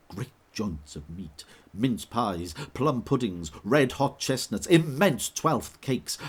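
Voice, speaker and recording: A man narrating an audio drama in measured, vivid storytelling. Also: on the low side, breathy edge.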